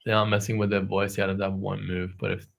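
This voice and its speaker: Man with a lowish voice. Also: little pitch movement, racing, gravelly. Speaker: co-host of a live stream. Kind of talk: casual live stream chat reading viewer comments.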